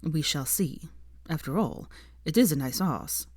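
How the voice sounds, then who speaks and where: A woman's voice: low-pitched, expressive, a little slow, darkish tone, husky, dry recording, full character voice. A woman voicing a haughty, proud young wizard man in an audiobook, with a dry drawl. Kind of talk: audiobook character voice.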